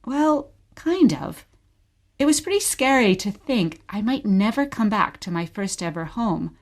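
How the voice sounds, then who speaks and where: A woman's voice: mid-range voice, big pitch swings, measured pace. A woman playing a wistful mother reminiscing about exile and telling her story simply in a radio play. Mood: fond, nostalgic. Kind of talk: short radio play dialogue, bedtime storytelling.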